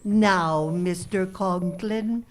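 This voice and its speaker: A woman's voice: on the low side, extremely slow. A woman playing a sly, sardonic nurse and villain's accomplice in a live radio drama. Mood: mock-sweet menace. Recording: live stage recording with faint noise.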